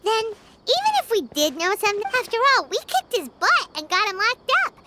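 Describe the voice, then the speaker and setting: Squeaky bubbly fairy sidekick. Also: female voice, sing-song, fairly quick, heavy background noise. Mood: excited. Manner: bubbly, exclamatory, refers to herself in third person. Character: tiny floating fairy girl companion, excitable and chatty. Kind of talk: video game dialogue. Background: game music.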